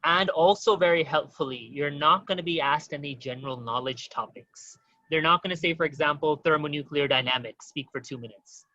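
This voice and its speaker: A man teaching an online exam-prep webinar, talking quickly and informally to his audience with many fillers. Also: fairly high pitch, bright, forceful tone, no room sound, lo-fi sound.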